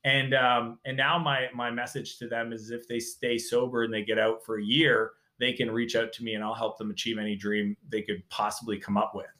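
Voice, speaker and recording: Animated man, bright echoey voice. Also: medium pitch, brisk, hardly any pauses. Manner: animated, emphatic storytelling. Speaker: guest. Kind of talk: casual interview talk.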